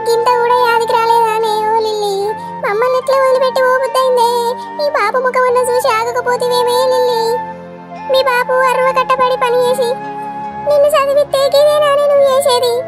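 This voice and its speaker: Crying village mom, telangana accent, squeaky high voice, lamenting, music behind. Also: female voice, dry recording. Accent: Telangana Telugu. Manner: lamenting, repetitive appeals. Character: grief-stricken, wailing village mother. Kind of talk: village family drama acting.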